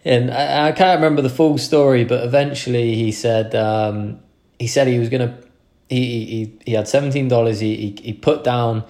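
A man's voice: medium pitch, fairly even intonation, normal speed, a little mellow. A young man hosting a podcast, talking through a casual motivational monologue in an earnest, rambling way with frequent restarts. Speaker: podcast host.

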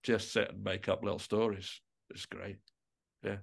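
A man's voice: fairly low pitch, little pitch movement, moderate pace, gravelly, slightly rough. A man speaking as a musician guest in a casual podcast interview, with an informal, self-deprecating and enthusiastic way of talking.

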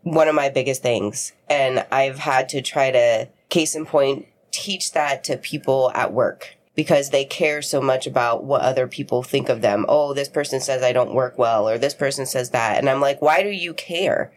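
A woman's voice: rumbling low, normal speed, few pauses. Outspoken woman co-host, faint hiss. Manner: blunt, outspoken. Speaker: podcast co-host. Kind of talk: casual chat.

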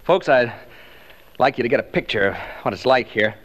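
An actor playing a nervous DJ alone in a haunted house, chatty and stammering, in an old radio drama. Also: male voice, medium pitch, expressive, moderate pace, music in the background, lightly acted, poor audio. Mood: hushed, uneasy. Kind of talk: 1940s radio drama acting, on-location monologue. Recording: old radio recording, faint noise.

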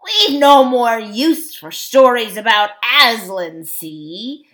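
A woman voicing a surly, defiant dwarf man in a storybook, with a growling, mocking, rough colloquial delivery. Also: on the high side, animated, takes it slowly, fairly bright tone, low-quality recording. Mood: sneering.

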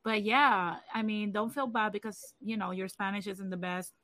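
A woman from Puerto Rico hosts a casual livestream chat. She talks in a loose, chatty way and leans on fillers. Her voice sits at a middle pitch, and the sound is dry and close. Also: fairly even intonation, normal speed, fairly bright tone, no room sound. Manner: chatty, many fillers like "you know". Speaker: livestream host.

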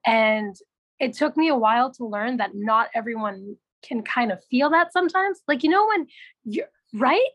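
A young woman from Canada with a naturally raspy voice, a musician guest talking casually and with animation. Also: fairly high pitch, normal speed, no room sound. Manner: animated storytelling, laughs often, swears. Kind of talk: casual chat.

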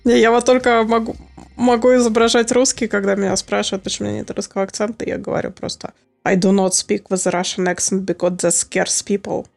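Woman guest, gravelly vocal fry, chatty, filler-heavy talk, faint music behind. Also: medium pitch, little pitch movement, fairly quick, a little mellow. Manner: chatty, many fillers, laughing often. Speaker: podcast guest, polyglot. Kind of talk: casual chat.

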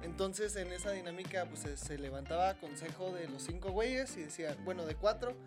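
A young man. He tells rambling stories in a relaxed podcast chat, often laughing mid-sentence. Music plays clearly behind him. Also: sits high, a little fast. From Mexico. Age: 20s. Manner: rambling storyteller, laughs while talking. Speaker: guest.

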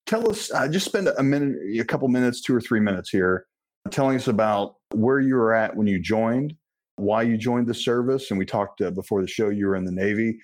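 A man's voice: lowish voice, a little fast, a little mellow. A man hosting a podcast interview in a casual, bantering way with loosely phrased questions.

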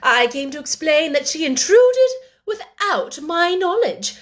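A woman's voice: sits high, very animated, unhurried, pitched above the performer's own voice. A woman voicing a haughty, stern middle-aged schoolmistress who speaks with rigid politeness and indignant command.

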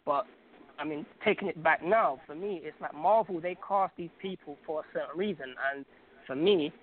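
A man with a fairly high voice talks over a thin, phone-like call. He is from Algeria and chats casually but emphatically, full of slang and repeated phrases, as a guest calling in to a show. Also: normal speed. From Algeria, North Africa. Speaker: guest caller on a radio podcast. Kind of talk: casual opinionated chat. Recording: phone-like, narrow tinny call sound.

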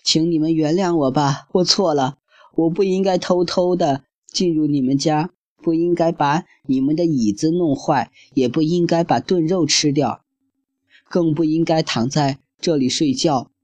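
A man's voice: a little high, normal speed, darkish tone, no echo, pitched above the performer's own voice, close to an everyday voice. A man putting on a whiny little girl. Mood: pleading. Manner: complaining, petulant.

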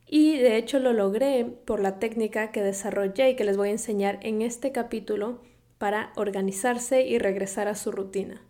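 A woman's voice: a little high, moderate pace, dark tone. Young ecuadorian woman w quito accent, chatty podcast host, laughs a lot, drops english words in. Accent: Ecuadorian Spanish from Quito. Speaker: podcast host and health coach. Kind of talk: solo podcast monologue.

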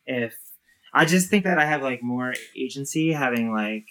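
Low-voiced woman, hesitant and musing. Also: lively intonation, normal speed, a bit bright, rough recording. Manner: hesitant, many fillers and restarts. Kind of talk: casual conversation.

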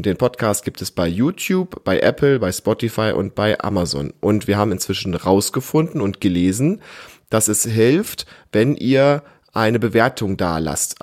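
A man hosting a casual family podcast, chatting in a patient, encouraging way and hesitating as he explains. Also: on the low side. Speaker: podcast host.

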